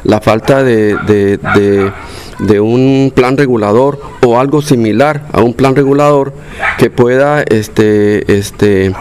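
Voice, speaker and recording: A man's voice: mid-range voice, a little mellow, slight background noise. An older man from Costa Rica with a Costa Rican accent, a local resident interviewed outdoors, speaking with many fillers and restarts. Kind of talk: field interview, casual. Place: outdoors in a neighborhood street.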